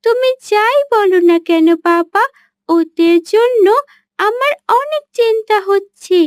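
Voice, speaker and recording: Casting: woman to play kind-hearted cartoon daughter, extremely high voice, earnest and caring. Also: slow, no echo, studio quality. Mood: worried. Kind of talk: cartoon voice acting.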